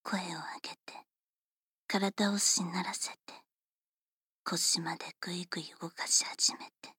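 A woman performs a formal female servant who teases her young mistress, whispering breathily and very slowly right at the microphone. Her voice sits a little low and stays polite and measured throughout. The sound is dry and close, with no room around it. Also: flat delivery, sharp tone, slightly rough, no room sound, heavily acted. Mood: teasing whisper. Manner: formal, politely teasing, unhurried. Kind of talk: whispered ASMR roleplay.

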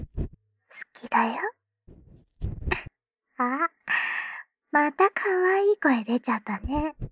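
A woman voicing a teasing, doting schoolgirl little sister in a whispered ASMR roleplay, breathy and playful. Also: fairly high pitch, slight background noise, exaggerated voice. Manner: teasing, playful, slow and soft. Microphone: close microphone.

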